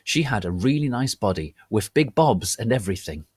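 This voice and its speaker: A man's voice: lowish voice, sing-song, moderate pace, darkish tone, breathy edge, no room sound, studio quality. A man voicing a melodramatic, bratty goth teenage girl who narrates her story in a theatrical, petulant, emphatic way. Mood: gushing, haughty. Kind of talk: dramatic reading of amateur fan fiction.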